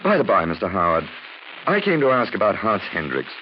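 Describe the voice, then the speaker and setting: A pompous, suave gentleman sleuth in an old radio mystery. He speaks in a low voice with big swoops of intonation, choosing flowery words and gently teasing. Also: huge pitch swings, brisk. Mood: polite, probing. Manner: pompous, ornate, politely teasing. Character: urbane, flippant amateur detective, a gentleman sleuth. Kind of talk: 1940s radio drama acting. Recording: muffled, hissy 1940s radio recording.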